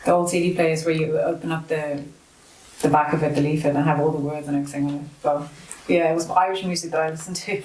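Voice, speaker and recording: A woman's voice: deep voice, fairly even intonation, fast, a little mellow, reverberant, rough recording. A South African woman in her thirties, chatting casually as an interview guest, often laughing and restarting her sentences. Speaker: guest, MMA fighter.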